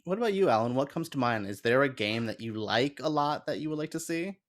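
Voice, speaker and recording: A man's voice: medium pitch, expressive, fairly quick, a little hiss or hum. Casual, enthusiastic man chatting. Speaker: podcast panelist. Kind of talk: casual chat.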